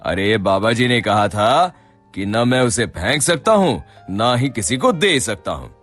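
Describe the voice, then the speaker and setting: A shrewd rich merchant from a moral tale, acted by a man. He speaks slowly and theatrically, with plenty of melodic rise and fall, in a bright voice. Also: medium pitch, big pitch swings, faint music behind. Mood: measured. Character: shrewd, kindly wealthy shop owner, the boss. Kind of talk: Hindi moral-story cartoon acting.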